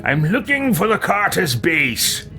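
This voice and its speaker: A man's voice: high-pitched, sing-song, measured pace, loud music behind the voice, voice pushed up, exaggerated voice. A man roleplaying a haughty, cruel fantasy adventurer in a video game, with a pompous, menacing delivery. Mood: imperious.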